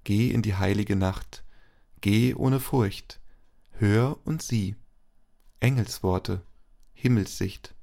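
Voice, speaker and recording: A man with plenty of vocal fry speaks in a lowish register. He leads liturgical prayer and blessing in a solemn way, setting out each phrase deliberately. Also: a little mellow. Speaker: service leader, reads intercessions and blessing.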